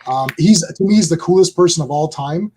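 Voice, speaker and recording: A man's voice: a little high, fairly quick, mellow, slight background noise. A man hosting a casual podcast chat, emphatic and opinionated about films. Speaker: host.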